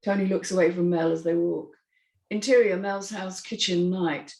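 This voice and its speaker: A woman's voice: fairly low pitch, steady tone, moderate pace, gravelly, mellow, echoey room. A woman narrator reading screenplay stage directions in a scripted style.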